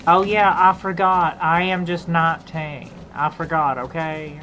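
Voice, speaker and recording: A woman's voice: sits low, steady tone, unhurried, a bit bright, slight background noise, rough recording. A woman streaming a video game, chatting casually and making playful, teasing jokes as she plays. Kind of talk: casual gameplay commentary.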